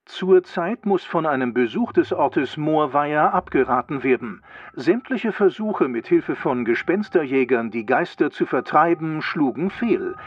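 A man's voice: a little high, animated, unhurried, husky, dull audio. An actor playing a solemn, officious male announcer reading alarming ghost bulletins in a children's audio drama. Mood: grave. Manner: formal, officious, grave. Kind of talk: scripted radio bulletin narration in a children's drama.

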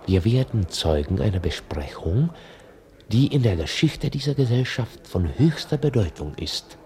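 A man narrating a scripted radio drama with dry, measured irony. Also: on the low side, very animated, dark tone.

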